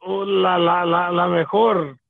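A man speaking haltingly and repeating his words in casual radio chat, over a thin phone line. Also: high-pitched, flat delivery, no room sound.

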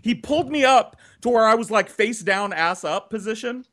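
A man in his thirties telling a personal story for laughs on a podcast, animated and dramatic, with swearing. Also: high-pitched, moderate pace, slight background noise. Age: 30s.

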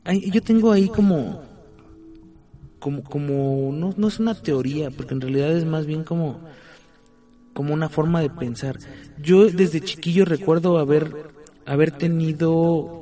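A man's voice: on the high side, measured pace, warm tone, faint music behind. A man, co-hosting a casual chat show and telling stories with many restarts. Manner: storytelling, many restarts and filler words. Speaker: podcast co-host. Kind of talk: casual chat about the paranormal.

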